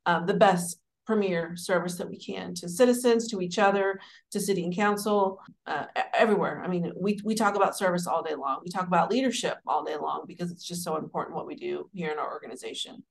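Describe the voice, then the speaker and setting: A woman in her forties from Dallas, telling her career story as an interview guest in a warm, rambling, conversational way. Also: lowish voice. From Dallas, Texas. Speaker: guest, city administrator.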